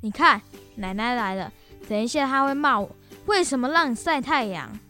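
A responsible young boy acted by a female performer with a high voice. He speaks earnestly and considerately as the elder brother in a children's radio play, over light music. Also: lively intonation, moderate pace, bright, forceful tone. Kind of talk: children's educational radio drama acting.

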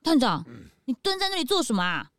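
A woman playing an excitable, outspoken young detective's sidekick in a children's audio drama, cute, dramatic and exclamatory. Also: high voice, fast talker, no echo, studio quality. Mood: curious. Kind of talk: children's radio drama acting.

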